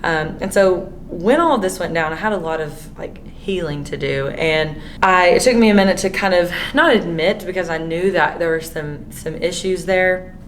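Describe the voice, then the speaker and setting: A hoarse woman host who is losing her voice, talking candidly in a rambling way with many fillers. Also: on the low side, moderate pace, slight background noise. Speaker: podcast host. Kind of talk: casual monologue.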